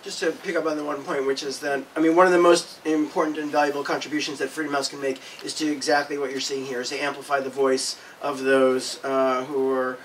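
A man's voice: mid-range voice, little pitch movement, fairly quick, hardly any pauses, a bit bright. A middle-aged man moderating a panel discussion in a conference room, speaking emphatically. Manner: emphatic, gestures broadly. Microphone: table mic. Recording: distant mic, faint noise.

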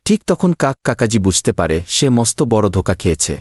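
Male narrator for children's cartoon tale: lowish pitch, expressive swoops, scripted read over music. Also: quick pace.

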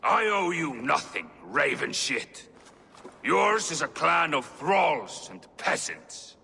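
A man's voice: a little high, sing-song, slow pace, vocal fry, slight background noise, exaggerated voice. An actor playing a brutal, boastful male Viking warrior who taunts and insults his foe, in a video game cutscene. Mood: taunting. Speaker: villain. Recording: game cutscene with loud score, long reverb.

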